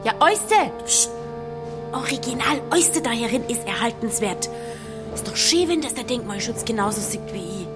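A woman playing a stubborn, quick-witted young village innkeeper, speaking pointed Bavarian dialect in a drama. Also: a little high, sing-song, over loud music. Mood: defiant. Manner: feisty, pointed dialect talk.

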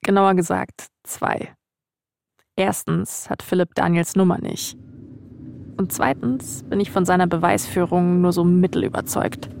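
Woman doing podcast storytelling, kinda low voice w some vocal fry. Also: little pitch movement, a little slow, a little mellow, no echo. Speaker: podcast host and reporter. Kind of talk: scripted storytelling narration mixed with casual interview questions.

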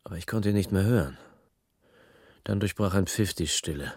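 A deep-voiced, restless crook narrates a noir radio drama in clipped phrases. The voice is husky and very dry, recorded close without room sound. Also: male voice, normal speed, a little mellow, no room sound. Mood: tense, brooding. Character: hard-boiled small-time male crook recounting his past, restless. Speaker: narrator and lead.

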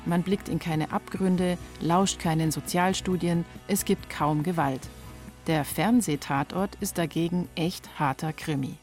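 A woman in her forties with a fairly low voice narrates as a reporter. Her delivery is scripted documentary narration, with faint music underneath. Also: steady tone, unhurried, darkish tone. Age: 40s.

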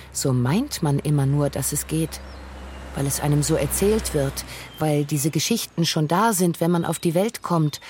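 A woman's voice: lowish voice, darkish tone, a little hiss or hum, natural voice. An actress playing a pensive, disillusioned woman in a literary radio drama monologue, reflective and insistent.

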